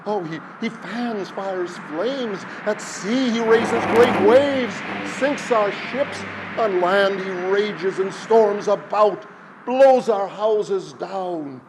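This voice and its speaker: A man voicing a crowd of frightened townsfolk who wail and plead in a high voice. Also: expressive, slow pace, noisy background, exaggerated voice. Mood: fearful.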